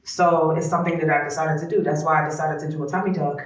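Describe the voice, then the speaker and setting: A woman's voice: low voice, level tone, quick pace. A woman born in Trinidad, a spa co-owner, chatting frankly and reflectively in a casual interview. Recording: echoey room.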